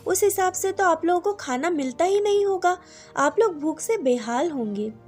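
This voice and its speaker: A woman's voice: extremely high pitch, lively intonation, normal speed, a little mellow, soft background music, pitched above the performer's own voice. A woman storyteller voicing a kindly, curious elderly woman with an earnest, questioning manner. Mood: puzzled, curious.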